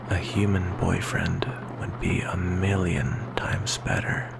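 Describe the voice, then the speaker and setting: A man playing a melancholy, insecure vampire boyfriend in an ASMR roleplay, speaking softly and hesitantly in a gravelly whisper. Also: sits low, full character voice. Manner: soft, hesitant, intimate, slow with pauses.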